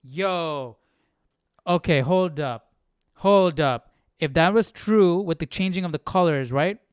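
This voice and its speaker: A man hosting a casual live Bible study, reading aloud and thinking out loud in an informal, slangy way. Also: on the high side, takes it slowly, clear, resonant tone, no echo, studio quality.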